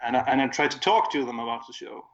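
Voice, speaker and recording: A man's voice: medium pitch, lively intonation, fast, fairly bright tone. A man with a Swedish accent, casually recounting a concert on a podcast in a rambling, self-correcting way. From Sweden. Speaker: podcast co-host. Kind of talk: casual chat. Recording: dull lo-fi audio.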